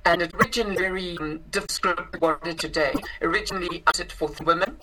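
A South African actress, a guest in an interview, talking in a rambling way with many ums and restarts. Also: female voice, on the low side, fairly even intonation, fast. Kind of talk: casual interview. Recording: clear background hiss.

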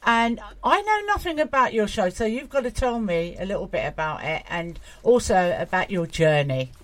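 A woman's voice: medium pitch, lively intonation, moderate pace, no room sound. A woman hosting an interview, introducing her guests in a friendly way. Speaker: host.

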